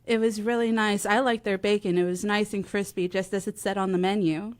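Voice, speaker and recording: A woman's voice: medium pitch, fairly even intonation, brisk. A woman co-hosting a live talk radio show in a chatty style. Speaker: radio co-host.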